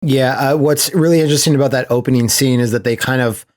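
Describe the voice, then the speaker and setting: A man's voice: medium pitch, fast, darkish tone. A man hosting a podcast, chatting casually in an enthusiastic, rambling way. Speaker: podcast host.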